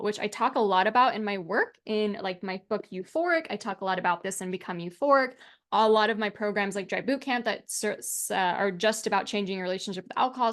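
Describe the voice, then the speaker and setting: A woman in her thirties gives an online class as a coach, talking in a chatty, upbeat way and often dropping "like" and "right?". Her pitch sits at an ordinary middle height for a woman. She speaks from a small room into a webcam and desk mic on a video call. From United States. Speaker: alcohol-free coach teaching an online class. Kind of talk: casual spoken class presentation over slides.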